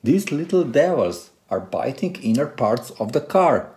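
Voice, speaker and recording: Animated man vlogger, lively pitch swings. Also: a little slow. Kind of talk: presenting to camera and narrating a car tech video.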